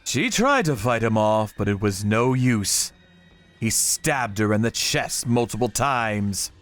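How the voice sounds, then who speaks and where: Slow, grave male narrator. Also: on the low side, animated. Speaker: narrator of a manga story, telling it in first person as a vigilante character. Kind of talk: scripted dramatic narration. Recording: music behind the voice.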